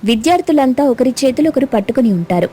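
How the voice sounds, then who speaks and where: A woman narrating a cartoon story in a steady, plain storytelling manner. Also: high voice, fast talker, breathy edge, faint music behind.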